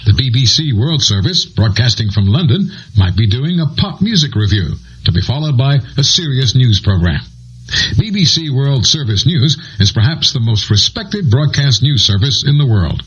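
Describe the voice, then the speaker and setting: American male narrator for an educational guide: very husky, animated delivery, conversational, dull cassette sound. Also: medium pitch, normal speed. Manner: explanatory, conversational asides. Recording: dull lo-fi old cassette transfer.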